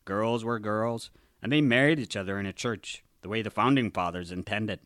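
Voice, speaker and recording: A man's voice: lowish voice, moderate pace, fairly bright tone, dry recording, studio quality, natural voice. A man acting as a blustering, oblivious conservative sports broadcaster in a satirical radio drama.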